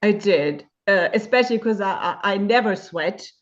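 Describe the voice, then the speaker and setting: A woman's voice: medium pitch, lively intonation, moderate pace, highs cut off. A woman in her fifties from Germany, a guest in a webinar interview, speaking candidly and searching for words with frequent fillers. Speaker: guest sharing her experience.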